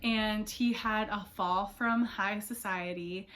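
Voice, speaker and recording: A woman's voice: mid-range voice, fairly even intonation, takes it slowly, a bit bright. A young woman book vlogger chatting casually to camera, her voice not fully back after an illness, rambling with fillers.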